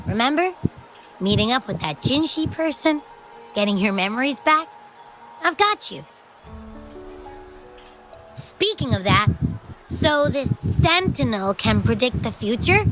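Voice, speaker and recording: A tiny, greedy companion creature from an anime game, chirps in a high childlike voice. The delivery bounces widely in pitch, cutesy and full of boastful play, with music clearly playing behind it. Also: female voice, fairly bright tone, exaggerated voice, low-quality recording. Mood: cocky, reassuring. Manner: cutesy, playful, exclamatory.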